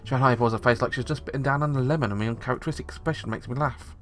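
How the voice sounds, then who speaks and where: A man's voice: mid-range voice, very fast, faint music behind. A man reading the narration of a visual novel aloud, steadily, with small slips.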